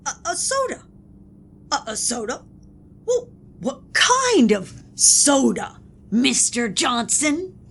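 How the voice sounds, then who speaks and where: Pompous sketch interrogator , female performer: high-pitched, wildly swooping, accusatory and melodramatic, with background rumble. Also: huge pitch swings, a bit bright. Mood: incredulous outrage. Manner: theatrical, accusatory, drawn-out. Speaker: comedy podcast sketch performer. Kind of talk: theatrical sketch acting.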